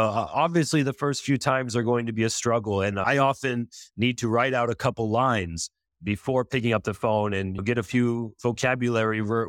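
A man's voice: medium pitch, dry recording, studio quality. A man on a casual panel discussion, speaking earnestly and encouragingly.

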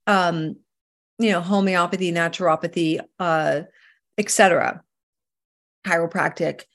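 A middle-aged woman from Canada, a holistic healer answering interview questions in an earnest, emphatic way that sometimes slows down to make a point. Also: fairly low pitch, steady tone.

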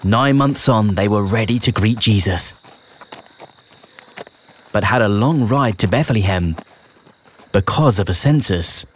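A man narrating a rhyming children's bedtime story in a measured storytelling delivery with deliberate pauses. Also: on the low side, slight background noise. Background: festive music and sound effects.

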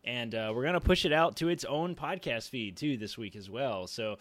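A man's voice: mid-range voice, animated, normal speed, a bit bright, no echo. A man co-hosting a podcast, chatting informally and making casual announcements. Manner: informal, frequent use of "like". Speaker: podcast co-host.

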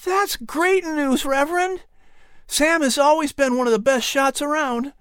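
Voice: sits high, unhurried, fairly bright tone, no room sound, studio quality, pitched above the performer's own voice. An audiobook narrator voicing an earnest, eager young boy in colonial times. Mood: relieved, curious.

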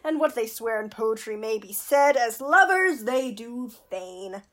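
A woman's voice: on the high side, expressive, measured pace, fairly bright tone. A woman playing a wordy, pompous male court fool in a Shakespearean radio play, with a theatrical, mock-learned manner. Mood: wooing, pompous.

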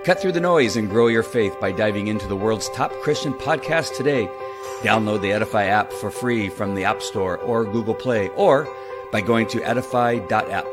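A man reading a scripted podcast network promo in a smooth, polished announcer delivery. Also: on the low side, normal speed. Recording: promo with loud music bed. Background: loud music.

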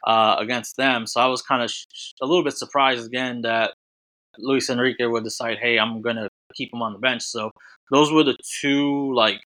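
A man, a football writer, talking casually as a podcast guest, halting and full of restarts. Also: medium pitch, steady tone, pushed and bright, no echo, rough recording. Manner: halting, many restarts and fillers. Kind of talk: casual sports podcast chat.

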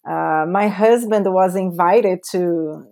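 Brazilian lady on a podcast, slow and halting, lots of uhs, portuguese accent. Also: lowish voice, vocal fry, mellow. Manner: slow, halting, with frequent fillers. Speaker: guest. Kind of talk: podcast interview.

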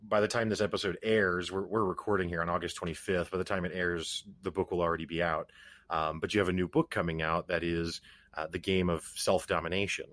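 A man's voice: sits low, a bit bright, no room sound. A man hosting an interview podcast, talking casually with stammering restarts and easygoing asides. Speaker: podcast host.

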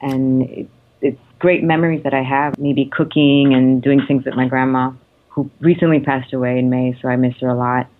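A woman in her thirties from Canada, a guest actress answering interview questions in a reflective way with many pauses and ums. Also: extremely low pitch, little pitch movement. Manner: warm, hesitant, lots of ums. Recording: tinny phone-like line.

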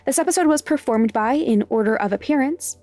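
A woman's voice: high-pitched, expressive, a little fast, warm tone, no echo. A woman reading scripted end credits as the creator of a podcast. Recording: dry studio voice over music. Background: faint music.

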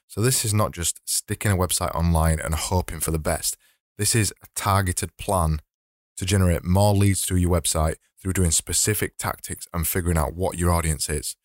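Slightly hoarse deep-voiced man hosting. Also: dry recording, studio quality. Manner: rambling, self-correcting, laughs at himself. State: slightly hoarse, losing his voice. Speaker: podcast host. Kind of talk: casual solo podcast talk.

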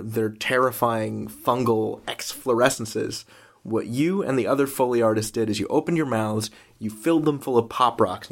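A man hosting a casual interview, talking in an enthusiastic and vividly descriptive way. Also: medium pitch. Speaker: interviewer, show host.

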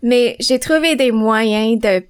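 A woman's voice: a little high, slow, smooth, dry recording. A woman, a mother at home, answering interview questions on faith thoughtfully and hesitantly. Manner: hesitant, searching for words, soft laughs.

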